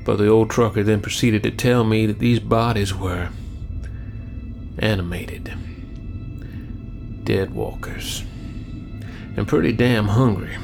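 Folksy older trucker, protective and wry, low gravelly delivery, audio drama. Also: male voice, steady tone, takes it slowly, pauses often, music in the background. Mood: ominous storytelling. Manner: folksy, drawling storyteller, wry. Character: gritty, folksy older male trucker protecting two young women.

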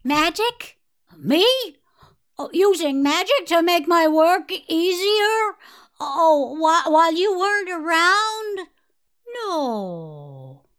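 Very high, fluttery good fairy. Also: female voice, sing-song, slow pace, a bit bright, heavily acted. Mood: flustered. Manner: flustered, plaintive, exclaiming. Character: fluttery, sentimental, flustered good fairy woman. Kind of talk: audio theatre fairytale acting.

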